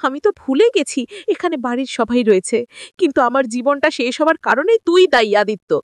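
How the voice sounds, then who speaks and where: A bitter, jealous soap husband, read by a woman narrator, full of accusation. The voice is high with lively pitch, in a dry recording. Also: a little fast, no room sound. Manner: accusing, resentful. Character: bitter, jealous, angry husband in family drama.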